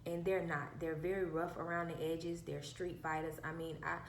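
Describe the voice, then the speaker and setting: A woman with a soft, childlike voice telling a personal story casually and emphatically, often repeating herself for effect. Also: deep voice, little pitch movement, moderate pace. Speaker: vlogger. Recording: roomy echo, faint background hum.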